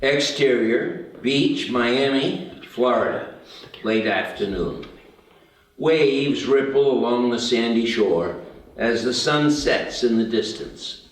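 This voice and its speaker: A man's voice: mid-range voice, slow. A man reading screenplay stage directions aloud as the action reader at a table read. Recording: very echoey room, distant microphone.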